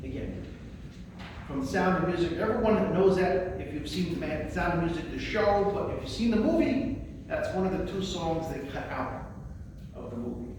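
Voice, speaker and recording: A man's voice: high-pitched, lively intonation, moderate pace. A man giving a spoken literary presentation as a librarian, with a theatrical, declamatory delivery. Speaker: librarian presenting a poetry reading. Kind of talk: spoken presentation and recitation of poems. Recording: very echoey room, distant mic, faint noise.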